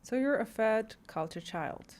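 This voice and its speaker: A woman's voice: a little high, animated, a little slow, darkish tone. Inquisitive woman hosting a casual chat. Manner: inquisitive, interjecting. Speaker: podcast host. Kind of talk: casual conversational interviewing.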